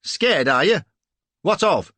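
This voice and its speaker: A man voicing a cold, menacing middle-aged slave-ship captain in an audiobook, quietly threatening and commanding. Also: on the high side, big pitch swings, takes it slowly, no echo, pitched above the performer's own voice, heavily acted. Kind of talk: audiobook character voice.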